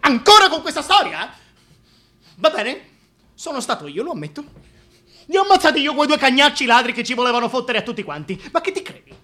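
A man's voice: extremely high pitch, sing-song, fairly quick, bright, forceful tone, exaggerated voice. An actor playing a scheming, sadistic dictator king in a theatrical radio monologue, crude, mocking and brooding, addressing the listener directly. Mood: exasperated anger.

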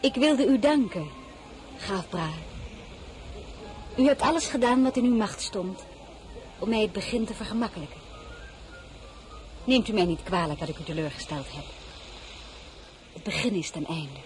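An actress playing a proud, principled foreign-born crown princess in a radio drama, speaking with formal, deliberate dignity. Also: female voice, mid-range voice, animated, a little mellow, lightly acted. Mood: gracious, firm. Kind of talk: dramatized novel, radio play acting. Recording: clearly noisy recording.

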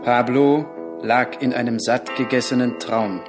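An actor as a poetic narrator telling a scripted radio drama. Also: male voice, mid-range voice, steady tone, a little slow, lightly acted. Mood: lyrical. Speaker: narrator in a chorus. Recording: plucked guitar music under the voice.

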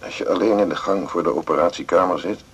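An actor playing a tender, melancholy man speaking a halting, reflective taped message in a radio drama. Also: low voice, slightly rough, lo-fi sound. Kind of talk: naturalistic 1980s radio drama monologue.

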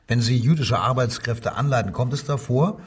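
An actor playing a cold, domineering Nazi police commissioner who questions sternly and curtly in a crime radio drama. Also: male voice, mid-range voice, normal speed, breathy edge, recorded from a distance. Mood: probing, mocking. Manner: stern interrogator, curt probing questions.

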